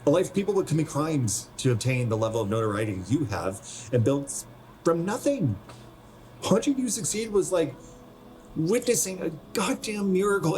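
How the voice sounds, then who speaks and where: An American man playing a weary, bluntly honest friend in his twenties in a naturalistic radio play, with measured delivery. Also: a little high. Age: young adult. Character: resigned 27-year-old male hometown friend, weary and bluntly honest.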